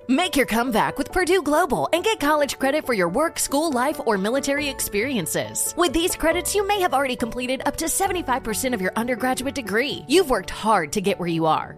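A woman reading a commercial in an encouraging, scripted style. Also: high voice. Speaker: ad narrator. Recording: music bed behind.

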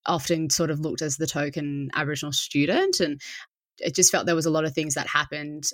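A woman's voice: deep voice, brisk, no room sound, clean studio sound. An Australian woman from south of Sydney, a podcast guest talking in a reflective, rambling way. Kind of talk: casual interview chat.

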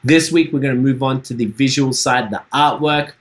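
A man in his thirties with a Trinidadian accent, hosting a casual podcast chat, relaxed and laughing, swearing freely. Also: medium pitch, normal speed. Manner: laid-back, swears freely, laughs often. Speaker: podcast host and musician.

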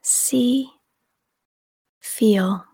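A woman leading a guided meditation in a soft, often whispery voice, calm and soothing, with long unhurried pauses. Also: fairly high pitch, gravelly, husky. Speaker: meditation guide and podcast host. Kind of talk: guided meditation, slow scripted narration.

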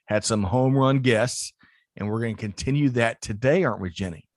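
A man's voice: medium pitch, moderate pace, no room sound, studio quality. A man hosting a podcast interview, chatty and upbeat, often restarting his sentences. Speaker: podcast host. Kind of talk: casual interview chat.

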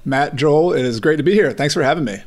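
A man's voice: on the high side, fast. A middle-aged man speaking as a podcast guest, telling personal stories in a casual, conversational way. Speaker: podcast guest, real estate investor and author. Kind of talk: casual chat, personal storytelling.

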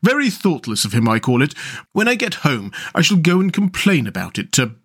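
A man voicing a self-pitying, literal-minded male mole in a storybook, in a plaintive, pettish, deliberate way. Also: a little high, big pitch swings, fairly bright tone, husky, exaggerated voice. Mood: grumbling, indignant. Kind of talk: storybook character voice.

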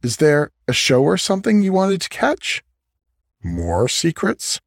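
A man voicing an eager, playful male golden retriever in a story reading, in an expressive, excitable manner. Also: on the high side, measured pace, creaky, no room sound, clean studio sound, pitched above the performer's own voice, exaggerated voice.

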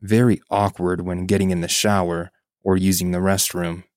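Male podcast narrator, deep register, reading a personal story aloud, dry studio-like sound. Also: level tone, moderate pace, darkish tone, dry recording.

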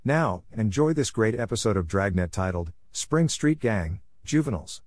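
A man's voice: fairly low pitch, lively intonation, normal speed, no echo. A man reading a scripted podcast introduction and sponsor message as host.

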